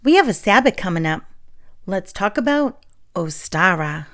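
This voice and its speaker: A woman's voice: medium pitch, very animated, normal speed. A young woman from New England hosting a casual solo podcast, chatting and reading aloud while getting over a sore throat. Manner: chatty and friendly, with fillers and soft laughs. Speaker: podcast host.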